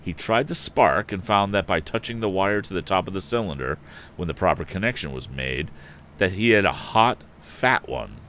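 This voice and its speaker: A man's voice: low voice, lively intonation, moderate pace, fairly bright tone, a little hiss or hum. A man hosting a story stream, reading a book expressively and chatting casually between passages. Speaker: storytelling stream host, reader. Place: indoor live stream.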